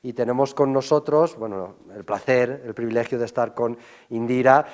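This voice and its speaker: A man's voice: medium pitch, fairly even intonation, normal speed, darkish tone. A man conducting a conversational on-location television interview, speaking in an effusive, complimentary way.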